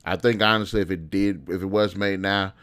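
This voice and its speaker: A man's voice: deep voice, steady tone, brisk, fairly bright tone. A man, around his thirties, giving casual unscripted commentary as a video host, in a rambling, opinionated, conversational way. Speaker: video host reviewing video game films.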